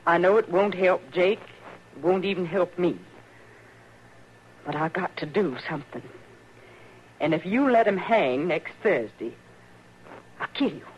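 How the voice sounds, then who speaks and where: A woman's voice: lowish voice, animated, unhurried, pauses often. A woman playing a bitter, grieving frontier mother in an old radio western, plain-spoken, with a rural Western American accent. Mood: grimly threatening. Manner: plain-spoken, folksy, unyielding. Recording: muffled, hissy old radio recording.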